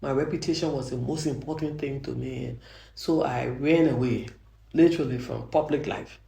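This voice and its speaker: An older Liberian woman speaks with a soft, gravelly voice, mellow in tone. She tells her story earnestly, restarting phrases and pressing her points home. The room around her gives a clear echo. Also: extremely low pitch, fairly even intonation, normal speed. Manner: earnest, frequent restarts, grows emphatic. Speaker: judge. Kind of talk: interview answers, reflective storytelling. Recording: roomy echo.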